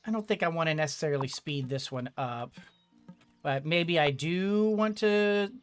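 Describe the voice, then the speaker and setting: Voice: mid-range voice, lively intonation, moderate pace, clear, resonant tone, faint music behind. A gamer giving casual let's play commentary, thinking aloud and often repeating words. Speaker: gaming video host.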